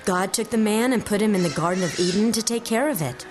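A woman's voice: mid-range voice, quick pace. A woman giving scripted storytelling narration of a Bible story as an audiobook narrator. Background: music.

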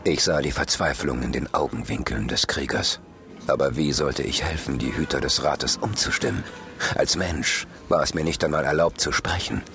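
An actor playing a grim, bitter, cynical antihero with a gravelly voice, narrating his own dark fantasy audio drama. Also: extremely low pitch, moderate pace. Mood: brooding. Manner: brooding, cynical. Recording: loud music behind the voice.

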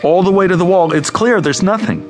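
A man's voice: sits high, a little fast, faint music behind, lightly acted. An actor playing a harried, grumbling but worried young father in a radio drama. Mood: alarmed, searching.

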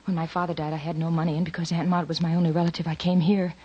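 Young femme fatale: low husky voice, breathy, intimate and pleading, vintage radio drama. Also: female voice, level tone, very fast, warm tone, lo-fi sound. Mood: anxious. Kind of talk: 1940s radio acting.

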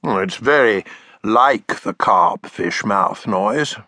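A patient, playful cave father, played by a man in a storybook, speaking slowly with a lively, bright tone. The voice sits close and dry. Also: fairly low pitch, no echo, full character voice. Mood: fond. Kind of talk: storybook reading.

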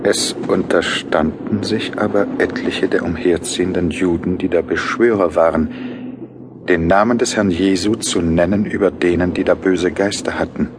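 A serious writer musing aloud in an audio drama. His voice is low and his delivery deliberate and reflective. A faint noise sits behind him. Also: steady tone. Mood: solemn, reflective. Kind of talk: scripted radio play narration.

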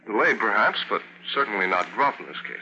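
An actor playing a composed, determined newspaper publisher in an old-time radio drama, talking in a measured way. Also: male voice, lowish voice, very animated, muffled recording, background noise. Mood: businesslike.

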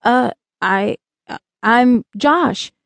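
Woman voicing a hesitant, higher-pitched young boy. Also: animated, moderate pace, darkish tone, dry recording. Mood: shy. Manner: hesitant, stammering, informal. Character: young boy, awed, shy, casual-talking American kid.

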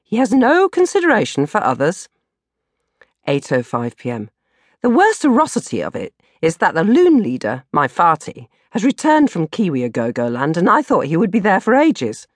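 A woman's voice: lowish voice, wildly swooping pitch, normal speed, no echo, clean studio sound. A woman narrating a comic first-person diary as an audiobook, with a dramatic, exasperated delivery. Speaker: audiobook narrator reading her own novel.

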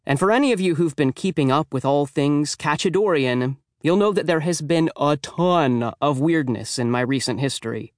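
Wry schoolboy hero, played by a man, slightly high voice, chatty, self-mocking, dramatic kids' audiobook storytelling. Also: normal speed, hardly any pauses, dry recording, clean studio sound, close to an everyday voice. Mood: wry dread. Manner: chatty, dramatic, tongue-in-cheek. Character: wry, self-mocking middle school boy telling his misadventures. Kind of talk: children's audiobook first-person narration.

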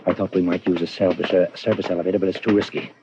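An actor playing a steady, soothing accomplice and lover in a 1940s radio drama, urgent and reassuring. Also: male voice, low voice, racing, lightly acted. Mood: hushed, urgent. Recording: dull, noisy old radio recording.

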